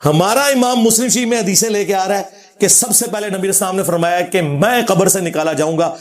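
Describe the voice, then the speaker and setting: Male religious lecturer, high voice, Pakistani Punjabi accent. Informal, combative rebuttal style with a sardonic edge. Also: brisk. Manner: argumentative, sardonic, quoting scripture.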